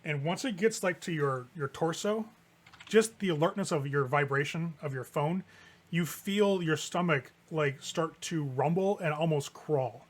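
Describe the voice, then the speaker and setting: Male game master, higher register, conversational horror narration for a tabletop game. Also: unhurried. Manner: casual, conversational improvised narration with swearing.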